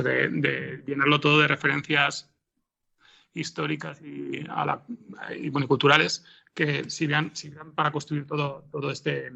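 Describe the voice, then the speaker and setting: Gravelly-voiced Spanish man, bright and hesitant. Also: a little high, dull audio. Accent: Spanish from Spain. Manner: hesitant, rambling, with frequent repeated words and tag questions. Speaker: interview guest, podcast scriptwriter. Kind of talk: unscripted interview answers.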